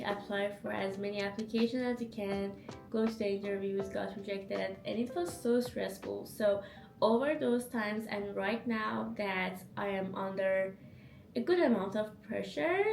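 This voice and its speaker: A woman's voice: mid-range voice, gravelly, echoey room. Chatty young woman vlogging from home. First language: not English. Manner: chatty, upbeat, self-reflective. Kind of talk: casual vlog narration. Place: home apartment.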